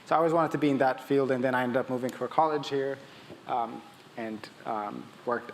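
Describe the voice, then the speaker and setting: A man's voice: mid-range voice, fairly even intonation, a little fast, gravelly. A man from Ethiopia speaking as a startup founder on a conference panel, conversational, often restarting his sentences mid-thought. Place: conference stage in a large hall. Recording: echoey hall with long reverb, audible noise.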